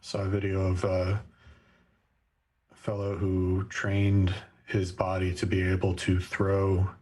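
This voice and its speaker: A man's voice: deep voice, a little mellow, husky. A man in casual chat, telling things in an easygoing, agreeable way. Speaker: podcast co-host.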